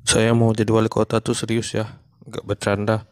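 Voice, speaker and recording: A man voicing a male monkey stand-up comedian in a cartoon who is running for mayor, telling jokes in a deadpan, even way. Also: on the low side, normal speed, no room sound, close to an everyday voice.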